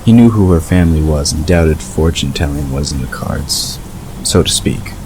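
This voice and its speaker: Super deep guy narrating an audio drama story, measured, clear noise in the background.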